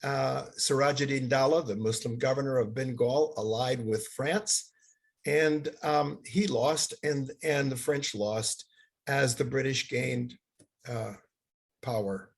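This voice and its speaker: Halting older man, husky voice. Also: medium pitch. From Bangladesh. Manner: halting, many fillers and restarts. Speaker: seminar lecturer. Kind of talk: academic lecture with slides.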